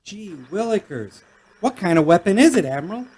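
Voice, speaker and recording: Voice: mid-range voice, very animated, moderate pace, darkish tone, slightly rough, poor audio. An actor playing an innocent, eager young boy space hero in a radio play, with an earnest, wholesome manner. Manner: earnest, wholesome, gee-whiz.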